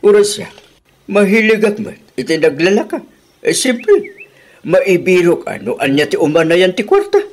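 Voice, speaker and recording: A man's voice: high-pitched, very animated, moderate pace. An actor playing an older, nosy, admonishing father-in-law in a radio drama, with an Ilocano accent and swooping intonation.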